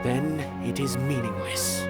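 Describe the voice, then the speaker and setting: A man's voice: mid-range voice, measured pace, natural voice. A man playing a grave, eloquent artist in a video game, speaking formally and theatrically. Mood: lofty, reflective. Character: grave, lofty male artist who gave up fame. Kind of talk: scripted video game character acting. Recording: background music under dialogue.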